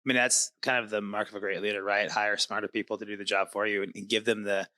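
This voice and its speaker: A man's voice: on the low side, little pitch movement, fast talker, bright, forceful tone. A man hosting a podcast, interviewing in an upbeat, informal and affirming conversational style. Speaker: podcast host.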